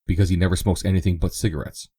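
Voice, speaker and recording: A man's voice: deep voice, fairly even intonation, very fast, mellow, breathy edge, dry recording, clean studio sound, pitched above the performer's own voice. A man voicing a teasing young brother who jokes in mock-dramatic banter.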